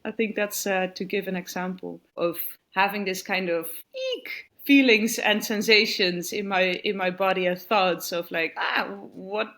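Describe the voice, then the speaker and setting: Woman, 30s, thoughtful, halting restarts, mid-pitched voice. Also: steady tone, a bit bright. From Netherlands. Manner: thoughtful, searching for words with many restarts. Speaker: retreat participant. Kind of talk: reflective interview answer.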